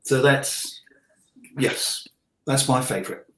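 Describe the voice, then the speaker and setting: A man's voice: medium pitch, slow pace, fairly bright tone. A middle-aged man chatting casually as a guest, rambling and jokey, talking about his work as an illustrator. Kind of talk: casual chat. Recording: roomy, echoey sound.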